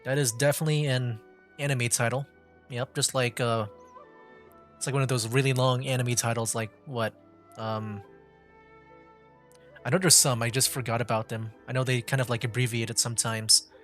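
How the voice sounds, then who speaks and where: A man's voice: mid-range voice, level tone, normal speed, long pauses, fairly bright tone, soft background music. A man giving casual live gameplay commentary as a streamer, rambling and feeling for his words. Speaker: video game streamer.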